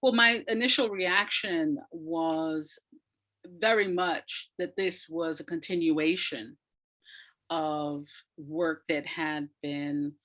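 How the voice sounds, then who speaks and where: A woman with a low-set voice talks as a guest in an interview. She has the emphatic manner of an educator, restating phrases to drive them home, in a conversational flow. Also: fairly even intonation, slow, a bit bright.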